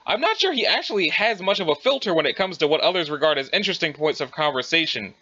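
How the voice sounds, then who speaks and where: A man's voice: a little high, expressive, quick pace, bright, forceful tone, lo-fi sound. A man playing a rambling, self-absorbed young adventurer in an audio drama, with bumbling, old-fashioned folksy turns of phrase. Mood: rambling, fretful. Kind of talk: dramatized dialogue reading.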